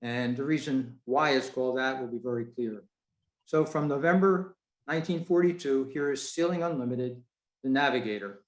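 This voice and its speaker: A man's voice: mid-range voice, normal speed. A man introducing a recording to a club over a video call, in a presenter's role. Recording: echoey room, video call.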